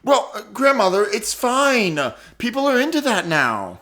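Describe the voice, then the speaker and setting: A high-pitched young boy, played by a man pleads with his grandma. He is eager, casual and a bit whiny, with lively swings, as in a comic radio play. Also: unhurried, full character voice. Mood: pleading.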